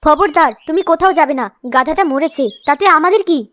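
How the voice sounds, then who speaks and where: Woman playing a shrill, bossy sister-in-law. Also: brisk, fairly bright tone. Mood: scornful, bossy. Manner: scolding, imperious. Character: bossy, greedy, sharp-tongued village sister-in-law. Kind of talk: children's cartoon voice acting. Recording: music under the voice. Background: children's music.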